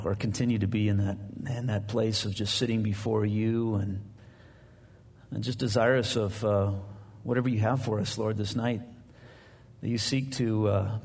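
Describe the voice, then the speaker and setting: A man leading a church service, moving from prayer into Bible teaching. He talks in a casual, halting way, full of "uh"s and restarts. His boomy mic rings out with a long reverb, as if in a can. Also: darkish tone, breathy edge. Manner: halting, many fillers and restarts; conversational, anecdotal. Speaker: pastor.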